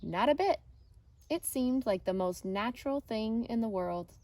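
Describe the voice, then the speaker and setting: A woman voicing a gentle, patient, wise old man in a storybook, plain-spoken and reflective. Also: on the high side, expressive, measured pace, no room sound. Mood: quietly certain.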